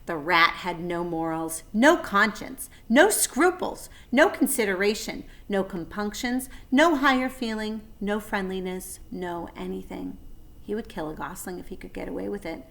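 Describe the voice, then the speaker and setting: A woman narrating a children's story with deliberate, expressive phrasing. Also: mid-range voice, creaky. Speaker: read-aloud narrator.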